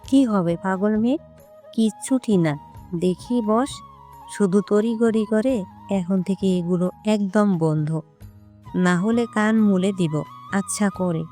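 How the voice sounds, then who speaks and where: Older mother role, female actor: fond scolding, warm dark tone, slow delivery, audio story. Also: medium pitch, faint music behind, lightly acted. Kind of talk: audio story character dialogue.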